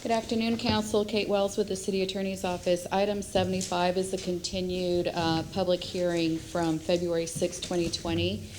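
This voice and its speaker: A woman from the city attorney's office giving a measured, precise legal briefing to council. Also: fairly low pitch, level tone, noisy background.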